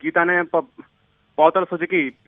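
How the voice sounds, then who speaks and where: Higher-pitched man, dull phone line. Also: fairly even intonation, no room sound. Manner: halting, repetitive, stumbling. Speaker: amateur wrestling commentator. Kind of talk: improvised sports commentary. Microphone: telephone.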